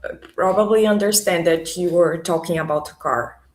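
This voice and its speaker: A Brazilian woman whose first language is Portuguese, teaching it in English with pauses to search for words. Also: fairly low pitch, roomy sound, low-quality recording. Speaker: language tutor. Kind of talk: conversational language lesson.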